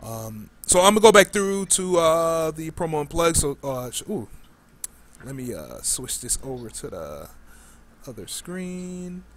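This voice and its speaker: Higher-voiced man hosting a casual podcast. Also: big pitch swings, a little slow, fairly bright tone. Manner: informal, slangy. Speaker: podcast host.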